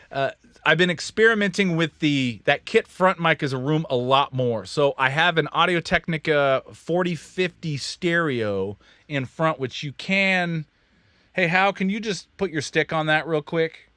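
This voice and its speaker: A man's voice: a little high, bright, forceful tone, no echo. A man from Kansas, hosting a casual studio livestream and explaining his drum miking in a rambling, self-correcting, joking way. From Kansas, USA. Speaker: recording studio owner and livestream host. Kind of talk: casual technical livestream chat. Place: recording studio control room.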